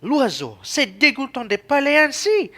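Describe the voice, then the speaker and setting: A woman's voice: high-pitched, sing-song, a little slow, bright, forceful tone, heavily acted. A young woman playing an indignant, principled traveller in a French radio play, speaking emphatically. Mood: outraged.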